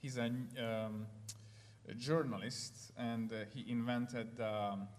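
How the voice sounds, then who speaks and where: A man's voice: medium pitch, steady tone, slow pace, slight background noise. Hungarian-accented man in echoey hall. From Ukraine. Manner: hesitant, many fillers and restarts. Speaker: guest speaker. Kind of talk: church testimony talk. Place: church hall.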